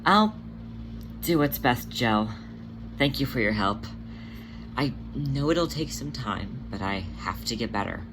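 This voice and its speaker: An anxious, worn-out young female cat from an audio story , acted out by a narrator. The speech is earnest and hesitant. There is clear noise in the recording. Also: medium pitch, animated, measured pace, pauses often, close to an everyday voice.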